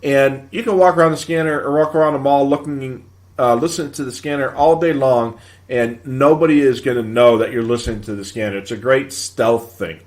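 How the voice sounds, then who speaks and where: Man hosting a casual, halting tech chat. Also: medium pitch, fairly even intonation, a little mellow. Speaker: live show host. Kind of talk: casual live tech advice, answering viewer questions.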